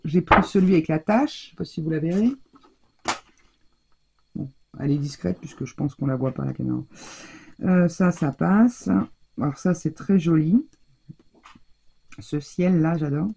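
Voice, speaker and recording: A woman's voice: low-pitched, normal speed, vocal fry, long pauses, dark tone, slightly rough. A woman from France chatting casually and rambling with many fillers as she shows her craft purchases in a haul video. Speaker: craft vlogger.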